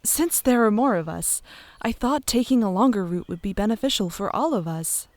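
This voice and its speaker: A woman's voice: mid-range voice, lively intonation, normal speed, a little mellow, dry recording, lightly acted. A woman playing a caring, decisive young princess in a fantasy audio drama. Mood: pleasant, decisive.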